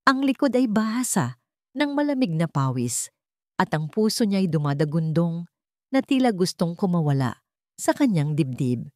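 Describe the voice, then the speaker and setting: A woman narrating a scripted audio drama in a measured, suspenseful storytelling manner. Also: lowish voice, very animated, warm tone, no room sound, studio quality.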